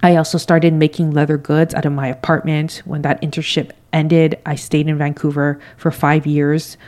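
A woman's voice: deep voice, little pitch movement, normal speed, darkish tone. A woman in her thirties from Toronto with a Canadian accent, hosting a solo podcast in a casual, candid, slangy way. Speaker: podcast host. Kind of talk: solo podcast monologue, conversational.

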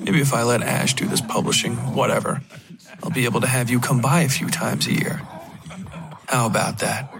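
A man voicing a menacing, contemptuous husband in a dark audio story, condescending, sneering and profane. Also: mid-range voice, fairly even intonation, normal speed, vocal fry, a crowd in the background, close to an everyday voice. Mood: leering. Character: menacing, contemptuous, abusive husband with political ambitions. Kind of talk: dramatic audiobook character dialogue.

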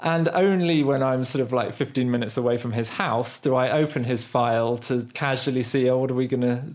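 A man's voice: medium pitch, a little fast, darkish tone. A young British man with a British accent talks in an easy, conversational way. He tells rambling, self-deprecating stories full of restarts and asides, like a co-host of a chat podcast. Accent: British English. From Britain. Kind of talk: casual conversational storytelling.

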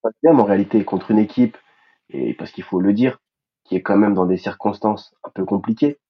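A man co-hosting a casual football podcast, speaking in a measured way and repeating words for emphasis. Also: fairly low pitch, slightly rough, highs cut off. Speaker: podcast co-host.

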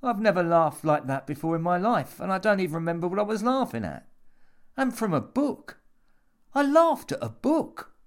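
A man's voice: high voice, big pitch swings, moderate pace, pitched above the performer's own voice. A man playing a cocky, needling club performer in an audiobook, informal and pushy in manner. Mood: bewildered, excited. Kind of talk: audiobook character voice.

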